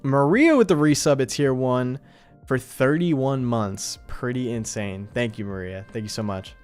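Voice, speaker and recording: A man's voice: medium pitch, measured pace, a little mellow, clear, resonant tone, soft background music. A man hosting a livestream, chatting casually and informally in slangy, appreciative asides to his viewers. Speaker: live streamer and host.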